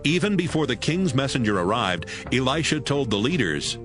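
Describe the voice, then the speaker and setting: A man's voice: mid-range voice, sing-song, moderate pace. A man narrating a dramatized Bible audiobook from a script. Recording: music behind the voice.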